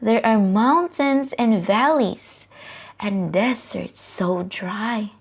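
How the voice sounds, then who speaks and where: A woman from the Philippines reading a picture book aloud to children in a deliberate, questioning storytelling manner, with asides to the listeners. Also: mid-range voice.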